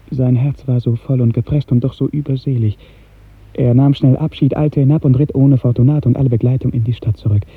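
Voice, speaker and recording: A man narrating a literary radio drama in an earnest, lyrical, carefully enunciated way, with a soft, husky voice. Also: mid-range voice. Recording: muffled narrow-band 1950s radio recording.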